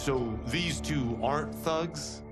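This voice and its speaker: An actor playing a brash, sceptical young village fighter in a video game. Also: male voice, fairly high pitch, takes it slowly, loud music behind the voice. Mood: incredulous. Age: young adult. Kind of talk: dramatic game cutscene acting.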